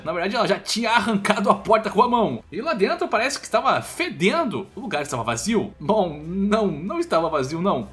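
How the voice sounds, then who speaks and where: A man's voice: high-pitched, fairly bright tone. A man with a Brazilian accent narrating a story recap in an informal, colloquial, run-on way.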